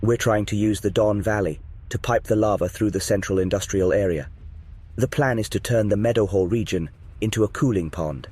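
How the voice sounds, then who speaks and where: A man playing a gruff, irritable fire chief with a curt, commanding manner in an audiobook. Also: fairly low pitch, fairly quick, darkish tone. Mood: brisk, firm. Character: gruff, irritable male fire chief running a disaster response. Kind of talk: audiobook character dialogue.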